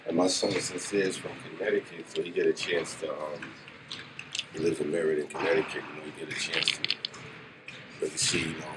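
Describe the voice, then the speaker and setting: 极低沉的中年男声，背景非常嘈杂. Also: male voice, very deep voice, little pitch movement, brisk. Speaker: father of a youth player, interviewee. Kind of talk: casual interview talk. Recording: very noisy room, slightly distant mic.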